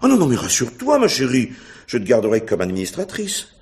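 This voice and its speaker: An actor playing a smug, cuckolded husband in a radio mystery play, talking in a mocking, condescending manner. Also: male voice, mid-range voice, huge pitch swings, fast, a little mellow. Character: sardonic, smug cuckolded husband and theatre man.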